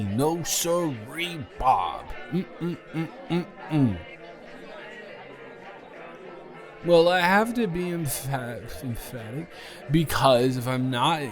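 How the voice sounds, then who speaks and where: A man playing a tipsy, stubborn male roommate at a party, rambling and repeating himself in an improvised audio roleplay. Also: medium pitch. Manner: rambling, tipsy, dragged-out and repetitive. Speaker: audio roleplay performer, channel creator.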